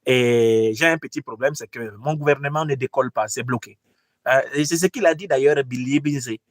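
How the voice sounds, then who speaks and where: A man playing an insecure, sheepish military president in a satirical skit, halting and repeating his phrases as he confesses and pleads. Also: mid-range voice, no room sound, studio quality, close to an everyday voice. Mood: sheepish confession.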